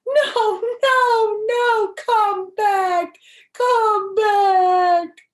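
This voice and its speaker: A woman's voice: very high voice, fairly even intonation, extremely slow, pitched above the performer's own voice, full character voice. A woman voicing a bossy, dramatic little kindergarten girl from a storybook, with emphatic, theatrical delivery. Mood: wailing. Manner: emphatic, theatrical, childish grammar. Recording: roomy, echoing home recording.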